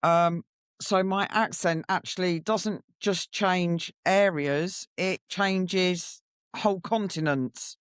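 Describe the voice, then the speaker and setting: Middle-aged woman, podcast guest, reflective and candid, very measured pace, intimate dry recording. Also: fairly low pitch, clean tone, no echo. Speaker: podcast guest sharing her story. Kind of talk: personal storytelling in interview.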